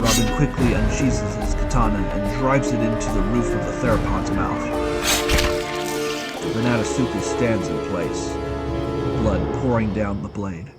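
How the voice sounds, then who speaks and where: A man narrating a scripted audio drama as its storyteller. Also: lowish voice. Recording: loud music behind the narration. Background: loud music.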